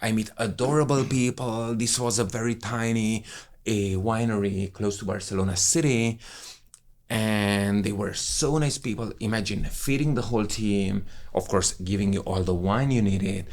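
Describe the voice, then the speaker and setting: Deep gravelly-voiced man. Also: fairly even intonation, normal speed. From Romania. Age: 30s. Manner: effusive, enthusiastic storytelling with non-native English phrasing. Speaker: guest, wine professional. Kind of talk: casual conversation.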